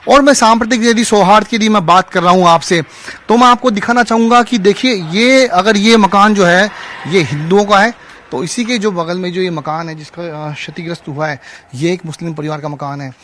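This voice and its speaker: A male field reporter giving an unscripted on-location news report, halting and repetitive, with many filler phrases. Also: sits high, fairly bright tone, slight background noise. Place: outdoors in a market street.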